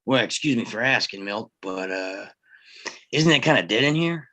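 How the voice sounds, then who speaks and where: A man's voice: lowish voice, expressive, moderate pace, bright, forceful tone. An actor playing a cool, confident, laconic frontier lawman in a screenplay table read. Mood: curious. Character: self-assured western ex-lawman, cool and practical.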